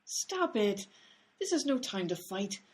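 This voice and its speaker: A woman playing a bossy, sharp-tongued amateur detective in a radio comedy, snappy and sarcastic. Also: medium pitch, sing-song, slow. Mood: impatient, sarcastic.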